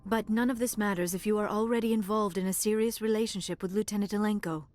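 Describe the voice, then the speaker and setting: A woman's voice: medium pitch, fairly even intonation, fast, a little mellow, dry recording, lightly acted. Woman voicing an alien woman, earnest and naive, formal careful phrasing, hesitant, game cutscene, music behind. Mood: tentative, earnest. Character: earnest, shy young-sounding female alien, formal and naive. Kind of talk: video game cutscene dialogue acting.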